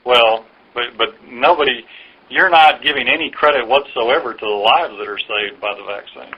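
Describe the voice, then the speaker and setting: Male official fielding a phone query, hesitant and patient, middling pitch, dull telephone sound. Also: normal speed, slightly rough. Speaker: official answering a caller's questions. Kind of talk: phone conversation, explanatory. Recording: dull lo-fi recorded phone call.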